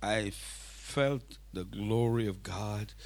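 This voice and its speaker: A man whose first language is Tamil, preaching a sermon in English in a church, speaking in halting phrases with restarts for an interpreter. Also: on the low side, creaky. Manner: halting, restarts, rising intensity.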